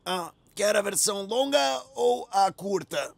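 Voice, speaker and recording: Sad gravelly helicopter, high pitched, dramatic, brazilian kids cartoon. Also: male voice, expressive, a little slow, exaggerated voice. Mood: woeful, worried. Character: glum, broken-down male helicopter. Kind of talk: children's cartoon dubbing. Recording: light music behind.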